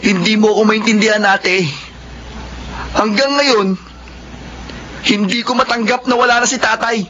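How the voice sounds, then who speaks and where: A man's voice: sits high, fairly even intonation, moderate pace, pauses often, music in the background, lightly acted. An actor playing a bitter, hard-drinking young man, belligerent and aggrieved, in an emotional Filipino radio drama. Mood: bitter, anguished. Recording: muffled radio recording, faint noise.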